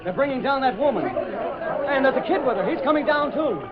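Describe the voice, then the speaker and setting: An actor playing a brash, pushy, confident newspaper reporter in old radio drama style, with slangy, bossy delivery. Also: male voice, very high-pitched, lively intonation, a little fast, over a noisy crowd. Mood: brisk, bossy. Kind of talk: 1930s-40s radio drama acting. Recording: old radio recording, muffled, noisy.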